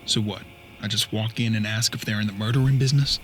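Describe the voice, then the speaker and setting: A man's voice: on the low side, fairly even intonation, quick pace, a bit bright, a little hiss or hum, close to an everyday voice. An American man playing a doubtful but game young apprentice bounty hunter in a western audio drama, questioning with a sardonic edge. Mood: incredulous, worried.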